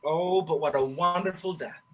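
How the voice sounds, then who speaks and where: An actor playing a breezy, eager teenage younger brother in an old-time radio play. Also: male voice, fairly high pitch, moderate pace, crowd noise, natural voice, poor audio. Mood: teasing. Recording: faint background noise.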